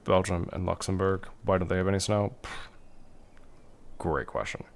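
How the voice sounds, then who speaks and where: A man casually talking through a strategy game as he plays, thinking aloud and answering viewer questions in a relaxed way. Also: low voice, moderate pace, vocal fry. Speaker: streamer playing a strategy game. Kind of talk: casual gameplay commentary reading chat.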